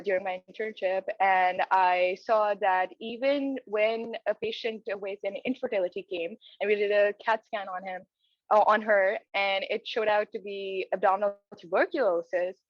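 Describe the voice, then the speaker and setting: A young woman from Pakistan answers interview questions in a steady mid-range voice, earnest and a little halting. The sound is close and dry but dull, like a lo-fi video call. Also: mid-range voice, no room sound. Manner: earnest, with many hesitant fillers and restarts. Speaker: residency applicant. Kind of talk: mock job interview answers.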